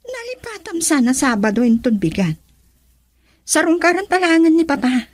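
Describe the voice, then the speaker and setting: An actress in an Ilocano radio drama playing a concerned, questioning mother and wife, with a Filipino accent and a husky voice. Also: high-pitched, sing-song, moderate pace, no room sound. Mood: suspicious, probing.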